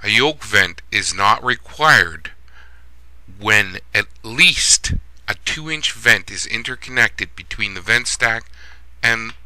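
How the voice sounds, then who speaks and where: A man giving a scripted plumbing lesson as a voice-over instructor, reading in a measured, steady way. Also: on the low side, no echo, rough recording.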